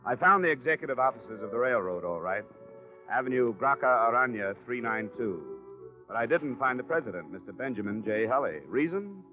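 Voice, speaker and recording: An American actor playing a tough, wry insurance investigator in a 1950s radio detective drama, dry and clipped. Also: male voice, medium pitch, lively intonation, a little fast, natural voice. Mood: brisk, deadpan. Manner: dry, clipped, matter-of-fact. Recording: dull vintage radio broadcast, music.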